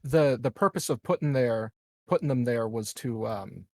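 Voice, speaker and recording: A man's voice: mid-range voice, moderate pace, vocal fry, no room sound. Man chatting over a game, dry close sound. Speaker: tabletop RPG player. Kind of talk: casual game chat.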